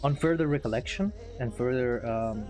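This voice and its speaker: A man's voice: mid-range voice, moderate pace, darkish tone. A man with English as his second language, chatting casually on a live trading stream, laughing often and reading chat aloud. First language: not English. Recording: faint music behind.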